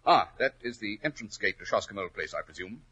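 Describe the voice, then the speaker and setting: A detective for old radio, brisk and scheming, really animated, bit raspy. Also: male voice, on the low side, exaggerated voice. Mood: cheerful. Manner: commanding, clipped, theatrical. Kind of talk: 1940s radio acting. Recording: old 1940s radio recording.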